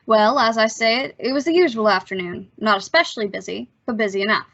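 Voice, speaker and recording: A guarded teenage counterboy from an old radio thriller, acted by a woman, speaks in a sharp, forward voice pitched fairly high. He is slangy and cagey, answering in clipped wisecracks. The recording is a little dull and lo-fi. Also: fast. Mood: breezy narrating. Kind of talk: old-time radio suspense acting. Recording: dull, lo-fi audio.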